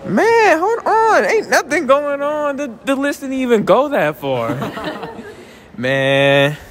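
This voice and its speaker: A man's voice: extremely high pitch, big pitch swings, slow. A young man hosting casual street interviews outdoors, joking and teasing in slangy talk.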